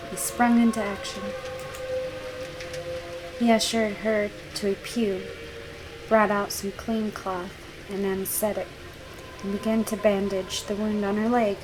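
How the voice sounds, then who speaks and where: A woman's voice: mid-range voice, level tone, measured pace, pauses often, noisy background. A woman gaming host reading a visual novel aloud as narration.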